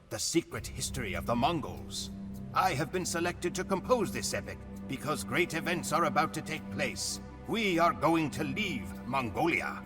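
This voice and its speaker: An actor playing a Mongol chronicler, narrating a game cutscene in a grave, measured storytelling manner. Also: male voice, medium pitch. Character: male Mongol chronicler narrating war history. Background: music.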